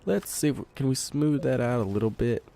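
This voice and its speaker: A man with a congested voice from a cold, giving relaxed let's play commentary, thinking aloud while he plays. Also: medium pitch, fairly quick, gravelly. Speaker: gamer.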